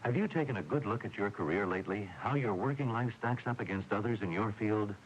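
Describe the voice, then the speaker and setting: A man's voice: fairly low pitch, fairly quick, low-quality recording. An American man reading a scripted radio advertisement as a commercial announcer. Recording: faintly hissy old radio recording.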